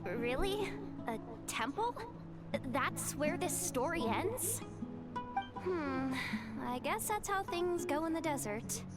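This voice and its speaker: Tiny squeaky game sidekick, super high, swoops around, chatty and naive. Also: female voice, expressive, takes it slowly, over loud music. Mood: curious. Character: small, childlike, chatty, easily tired sidekick. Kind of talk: cartoonish video game voice acting.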